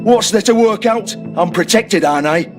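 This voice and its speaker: A man voices a crude young club owner in a game, cornered and foul-mouthed, his voice pitched high and spitting defiance. Music swells steadily behind him. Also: normal speed, natural voice. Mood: defiant. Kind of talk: video game voice acting.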